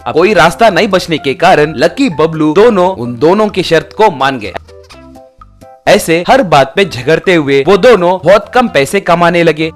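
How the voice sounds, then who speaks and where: A man's voice: a little high, animated, measured pace, soft background music. A man narrating a scripted, children's-style story.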